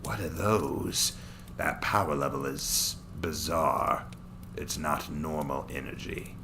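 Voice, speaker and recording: A man voicing an arrogant, sneering male warrior villain in a story, with contemptuous, drawn-out muttering. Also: extremely low pitch, background noise, voice pushed down, exaggerated voice. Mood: smug, wary.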